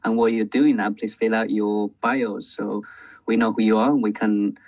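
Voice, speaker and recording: A man's voice: on the low side, little pitch movement, normal speed, darkish tone, far from the mic, rough recording. A man from China moderating a panel and introducing himself.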